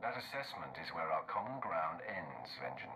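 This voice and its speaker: A man playing a cold, confident Sith warrior in a video game, measured and quietly menacing. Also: very deep voice, big pitch swings, background noise, exaggerated voice. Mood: quiet menace.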